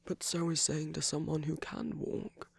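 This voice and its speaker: A man playing a tender but commanding villain in a romantic ASMR roleplay, speaking softly and breathily with measured pauses, often dropping to a whisper. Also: fairly high pitch, level tone, vocal fry, slightly rough, exaggerated voice. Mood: gentle, earnest. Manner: slow, gentle, measured, with deliberate pauses. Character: tender, commanding male villain comforting his lover.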